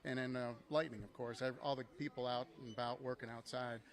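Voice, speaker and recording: Man, fifties or up, mid-pitched, rapid talker, weather forecaster, casual conversation. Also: steady tone. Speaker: meteorologist, passer-by guest. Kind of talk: casual chat.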